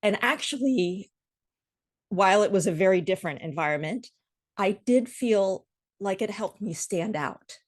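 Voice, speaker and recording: A middle-aged woman with an American accent, giving measured, articulate answers as a guest in a conversational interview. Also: medium pitch, lively intonation. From Washington, D.C. area, United States. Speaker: podcast guest, association executive.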